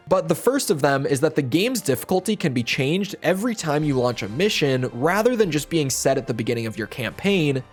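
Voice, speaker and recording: A man reading a scripted video essay voiceover as a game reviewer, slightly hoarse, explaining in a steady, upbeat way. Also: on the high side. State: slightly hoarse, near losing his voice.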